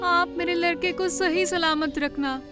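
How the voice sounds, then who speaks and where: Woman acting a very high-pitched fretful mother. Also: fairly even intonation, unhurried, over music. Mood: anxious. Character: worried, loving mother of a grown son. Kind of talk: Hindi cartoon story acting.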